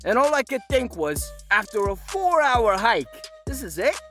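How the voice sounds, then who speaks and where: A man's voice: very high voice, sing-song, a little slow, pushed and bright, faint music behind, heavily acted. An actor playing a disgruntled, sarcastic male tourist complaining in a scripted comedy monologue. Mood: scornful. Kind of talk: comedic scripted monologue as a one-star reviewer.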